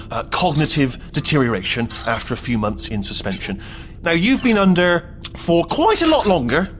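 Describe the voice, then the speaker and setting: Flustered, high-pitched British robot helper. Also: male voice, a bit bright, slight background noise. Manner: rambling, stammering, blurting and backtracking. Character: chatty, panicky, bumbling male robot helper. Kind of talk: comic video game character acting.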